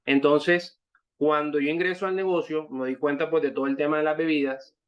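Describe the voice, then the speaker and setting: A man's voice: fairly high pitch, steady tone, a little fast. A man of thirty-five with a Barranquilla accent from Colombia's Caribbean coast, giving a wordy personal testimonial to an online group, circling back over his phrases. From Colombia, Caribbean coast (Barranquilla, born in Santa Marta). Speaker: network marketing member giving a testimonial. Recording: dull, muffled online-call audio.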